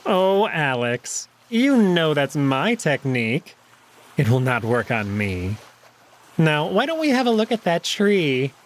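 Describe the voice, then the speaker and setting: A man's voice: on the high side, very animated, takes it slowly, a little hiss or hum, voice pushed up. A man voicing a big, smug male jackal in a visual novel, amused, taunting and fully in control. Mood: teasing.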